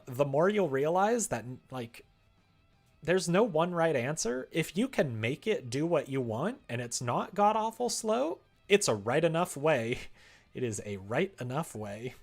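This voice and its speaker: A man chatting casually on a livestream, thinking out loud in an informal, rambling way. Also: mid-range voice. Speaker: streamer writing a visual novel.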